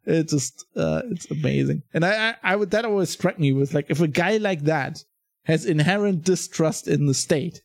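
A man with a German accent hosting a podcast in casual conversation, blunt and quick to laugh, swearing now and then. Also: fairly high pitch, vocal fry, no echo, studio quality. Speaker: podcast host.

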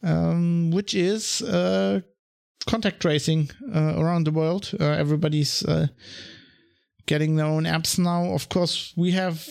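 A man's voice: a little high, fairly even intonation, a little slow, creaky, a little mellow, clear, resonant tone. A man with a German accent hosting a solo podcast, rambling through his thoughts with many ums and restarts. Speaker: podcast host. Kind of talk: casual solo podcast monologue.

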